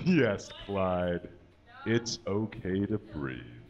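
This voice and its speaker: A man playing a pious, patronising, folksy puppet who lectures deliberately in a mock-sermon at a live comedy show. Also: sits low, big pitch swings, a little mellow, muffled recording, heavily acted. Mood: reassuring. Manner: preachy, deliberate, drawn-out phrasing. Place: small indoor stage. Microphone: handheld microphone.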